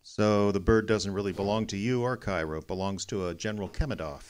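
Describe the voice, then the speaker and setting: An actor playing a tough, cynical private detective in a radio drama, with a terse, slangy, wry way of talking. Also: male voice, fairly low pitch, a little fast, close to an everyday voice. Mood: dry, guarded.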